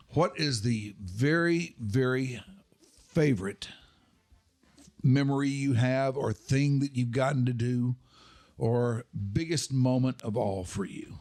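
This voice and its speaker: A man's voice: mid-range voice, darkish tone. A male podcast host with a mid-range voice. He speaks casually, in the conversational style of an interviewer chatting with a guest.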